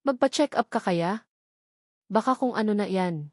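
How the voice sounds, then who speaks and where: A woman's voice: mid-range voice, expressive, moderate pace, a little mellow, dry recording, clean studio sound, lightly acted. A woman with a Filipino accent voicing a remorseful, devoted husband in a scripted audio drama, in an earnest, pleading manner. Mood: concerned.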